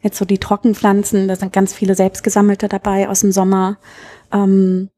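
A woman's voice: mid-range voice, monotone, moderate pace, warm tone. A woman in her thirties from Berlin, chatting casually and openly as the guest in an interview. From Berlin, Germany. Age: 30s. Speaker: interview guest, bar owner.

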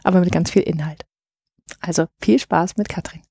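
A woman's voice: on the low side, steady tone, moderate pace, creaky, dark tone, breathy edge, no echo, studio quality. A woman hosting a podcast interview, talking in a hesitant way with many fillers, recorded in a coworking space. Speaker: podcast host. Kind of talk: conversational interview.

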